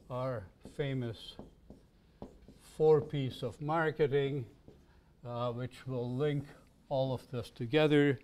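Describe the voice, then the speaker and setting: An older man gives a lecture in a university lecture room. He speaks slowly and deliberately, in a mid-range voice, and lays out each idea with care. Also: medium pitch, a little mellow. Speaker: business school professor.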